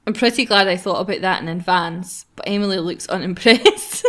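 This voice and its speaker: A woman's voice: medium pitch, brisk, a bit bright. A woman with a mid-range voice hosts a gaming session. She reads visual novel lines aloud casually and playfully, giggling and poking fun at herself. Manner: giggly, self-mocking. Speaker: gaming video host.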